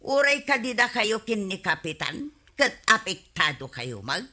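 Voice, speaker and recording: A woman's voice: mid-range voice, very animated, unhurried, sharp tone, full character voice. A woman playing an assertive, meddling village neighbour who complains emphatically in an Ilocano-accented radio comedy drama. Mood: complaining. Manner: pushy, emphatic.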